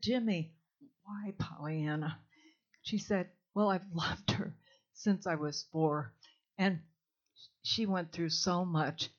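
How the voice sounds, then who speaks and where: Low-voiced older woman speaking candidly. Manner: candid, chatty and warm-humored, with soft laughs. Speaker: invited speaker. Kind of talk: informal talk to a live audience. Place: hall with a live audience. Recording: dull lo-fi sound.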